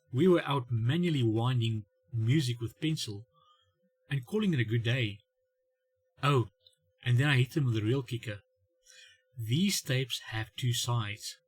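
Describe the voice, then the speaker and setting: A middle-aged man delivers a comedic monologue in a medium-pitched, slightly husky voice. He is wry and self-mocking, playing out little exchanges and pausing for dramatic effect. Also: no echo. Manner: wry, self-mocking dad humour with dramatic pauses and acted-out dialogue. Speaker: host of a family humour channel.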